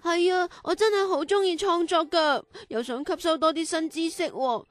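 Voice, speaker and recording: Casting: a sad, sincere little girl, extremely high childlike voice, plaintive delivery. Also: normal speed, no echo. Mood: earnest, sorrowful. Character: earnest, sorrowful young girl with painful illness. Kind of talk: Cantonese children's radio drama acting.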